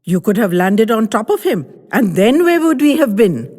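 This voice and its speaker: A woman voicing a curmudgeonly, stern old grandfather who speaks in a gruff, admonishing way. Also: fairly high pitch, very animated, normal speed, warm tone, in a large hall, pitched above the performer's own voice. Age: 70s.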